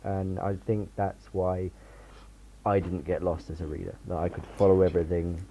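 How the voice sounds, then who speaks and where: A man's voice: deep voice, flat delivery, normal speed. A man chatting casually as an author and panelist in a livestream book discussion, on a lo-fi microphone. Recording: dull lo-fi mic with hiss.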